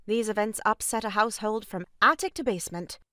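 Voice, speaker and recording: A woman's voice: medium pitch, brisk, pushed and bright, dry recording, clean studio sound, lightly acted. An actress playing a composed married lady in a comic farce, prim, formal and dryly polite. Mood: brisk, composed. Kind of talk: comic stage farce acting.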